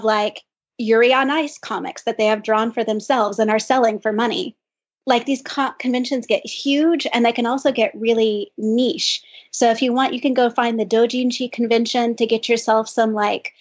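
A woman co-hosting a podcast, chatting casually and thinking aloud with lots of "like". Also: a little high. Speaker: podcast co-host. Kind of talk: casual discussion. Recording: dull lo-fi sound.

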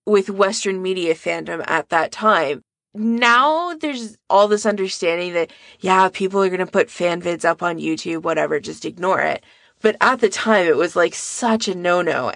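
A woman's voice: lowish voice, a bit bright, no room sound, studio quality. A woman co-hosting a podcast, telling stories in an enthusiastic, conversational way. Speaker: podcast co-host. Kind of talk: casual discussion.

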